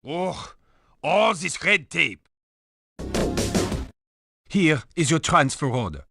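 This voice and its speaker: A man's voice: a little high, sing-song, slow pace, gravelly, loud music behind the voice, exaggerated voice. A man playing a disguised agent who poses as a curt, impatient senior officer in a video game. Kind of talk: video game cutscene acting.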